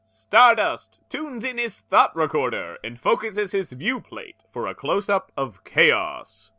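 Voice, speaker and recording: Slow theatrical male narrator. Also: high voice, wildly swooping pitch, bright, forceful tone, no echo. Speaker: podcast co-host reading a comic aloud. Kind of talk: dramatic comic reading with banter.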